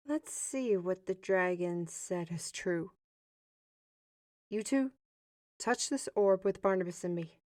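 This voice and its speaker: A woman playing a commanding, steady leader of adventurers in a fantasy audio drama. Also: medium pitch, dry recording, clean studio sound, close to an everyday voice. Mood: reassuring.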